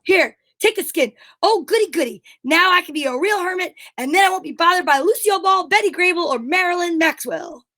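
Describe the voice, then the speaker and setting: A woman playing a grumpy, reclusive male hermit with theatrical deadpan replies in an amateur old-time radio comedy. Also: very high voice, fairly quick, few pauses, heavily acted. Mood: gleeful.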